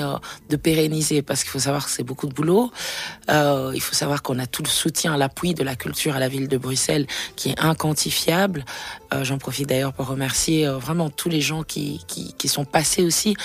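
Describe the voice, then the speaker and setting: A woman's voice: low voice, gravelly, husky. A woman who manages a cultural centre, speaking hesitantly as an interview guest with many fillers and restarts.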